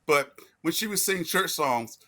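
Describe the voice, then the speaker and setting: An older man co-hosting a casual chat, chiming in with quick agreement and an easy laugh. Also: on the high side, lively intonation, bright, forceful tone. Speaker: podcast co-host.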